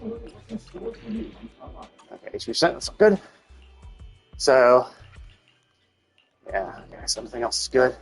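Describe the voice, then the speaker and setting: A man giving casual, unscripted gameplay commentary on a live stream, rambling and thinking out loud in a husky voice. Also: mid-range voice, big pitch swings, slow pace, pauses often, faint music behind.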